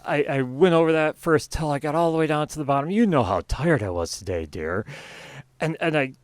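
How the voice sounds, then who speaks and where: A flustered husband in a folk tale, higher-voiced and breathless, as a man puts him on. He rambles through apologies in a lively, up-and-down voice. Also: fairly quick, dry recording, lightly acted. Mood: apologetic rambling. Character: sleepy, flustered husband who overslept.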